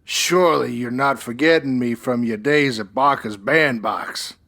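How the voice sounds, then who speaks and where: A man's voice: medium pitch, measured pace, vocal fry, sharp tone. A man playing a menacing but comical gangster henchman in an audio drama, making mock-polite threats in streetwise, ungrammatical slang. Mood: threatening. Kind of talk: old-time radio gangster acting.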